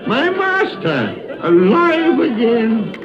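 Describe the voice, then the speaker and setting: An actor playing a frightened, remorseful elderly miser in a theatrical, exclamatory 1930s radio drama style, often stammering and repeating words. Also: male voice, very high voice, huge pitch swings, very slow, crowd noise, full character voice. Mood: joyful nostalgia. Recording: noisy, dull vintage radio broadcast.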